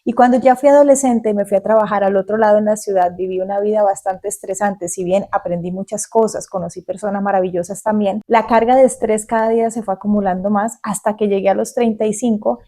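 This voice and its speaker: A woman in her forties from Colombia, speaking calmly and earnestly to the viewer in a reflective monologue, outdoors in the countryside. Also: medium pitch, fast, few pauses. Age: 40s. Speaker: vlogger. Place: outdoors in the countryside facing mountains.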